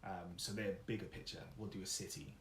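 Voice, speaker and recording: A man's voice: deep voice, fairly even intonation, brisk, reverberant, background noise. A young man, chatting easily as an interview guest, laughing often and trailing off with fillers. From Wales. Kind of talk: casual interview chat.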